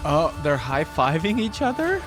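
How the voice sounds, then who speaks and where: A man's voice: a little high, huge pitch swings, moderate pace. A man hosting a reaction video, commenting casually and informally in short exclamations. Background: music from the watched video.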